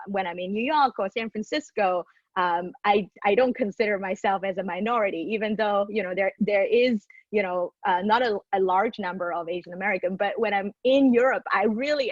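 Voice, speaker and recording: A woman's voice: medium pitch, no echo, highs cut off. A woman design speaker chatting on a panel, hesitant with many fillers and restarts. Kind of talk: casual panel chat. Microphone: video call.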